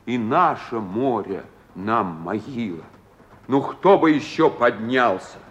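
An actor playing an exultant Soviet soldier drunk on victory, speaking with emotional emphasis in a radio play. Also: male voice, medium pitch, expressive, slow, a bit bright. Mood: exultant awe. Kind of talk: theatrical Russian radio drama.

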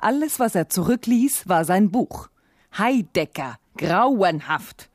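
A woman's voice: medium pitch, animated, takes it slowly, darkish tone, no echo, clean studio sound. An actress playing a cynical, world-weary murderess in a noir radio play, cool and sardonic in her delivery. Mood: weary, bitter.

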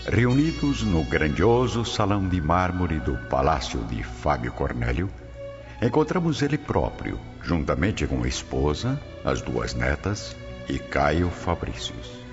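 Really deep guy narrating a radio drama, formal, swooping intonation, music behind. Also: sing-song, unhurried. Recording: dull lo-fi audio over music.

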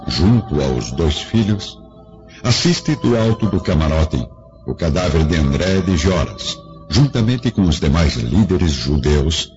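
A low-voiced Brazilian man delivers solemn radio drama narration with sweeping, theatrical intonation. Loud music plays behind him in a slightly dull recording. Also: expressive, unhurried.

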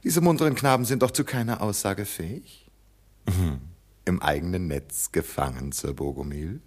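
Give me a young radio-drama hero, very deep and husky, defiant with a lively, swinging melody. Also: male voice, normal speed. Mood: triumphant. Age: young adult. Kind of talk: German radio drama acting.

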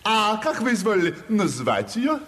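High-pitched, swooping, fawning provincial landowner. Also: male voice, huge pitch swings, moderate pace, fairly bright tone, heavily acted. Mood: fawning, curious. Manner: obsequious, effusive, deferential. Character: boastful, fawning provincial landowner, eager to impress. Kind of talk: theatrical audio drama acting.

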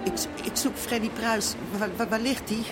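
A woman's voice: on the high side, moderate pace, over loud music, close to an everyday voice. An actress playing an anxious café landlady, agitated and stammering, in a radio drama. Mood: panicked.

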